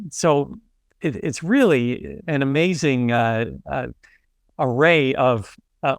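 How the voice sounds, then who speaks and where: A man's voice: fairly high pitch, smooth, no room sound, studio quality. A man hosting a podcast, reading a polished intro and then chatting loosely with a guest, with plenty of fillers and restarts. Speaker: podcast host. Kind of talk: scripted intro then casual interview.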